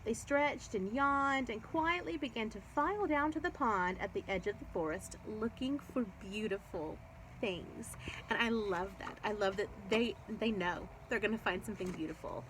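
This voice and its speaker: Woman doing a kids book read-aloud, very animated pitch, explains stuff to little kids, some background noise. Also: normal speed, rough recording. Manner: expressive, explanatory, addressing young children. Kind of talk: children's book read-aloud with chatty asides.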